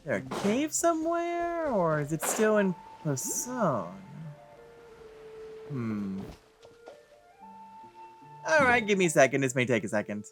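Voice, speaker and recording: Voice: mid-range voice, big pitch swings, slow pace, long pauses, over music. A let's play streamer giving casual gameplay commentary, playfully thinking aloud.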